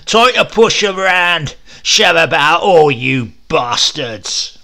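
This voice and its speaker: A man's voice: on the high side, lively intonation, slow, vocal fry, pushed and bright, voice pushed up, heavily acted. A man voicing a huge, coarse, scornful brute who roars at the people around him. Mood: roaring scorn. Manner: roaring, coarse.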